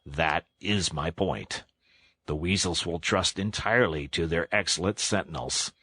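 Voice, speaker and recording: Guy doing a gruff old badger from a kids book, deep and raspy, dry bossy delivery. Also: pushed and bright, full character voice. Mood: measured, scheming. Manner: dry, measured, authoritative. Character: gruff, dry, commanding older male badger. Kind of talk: children's storybook character voice.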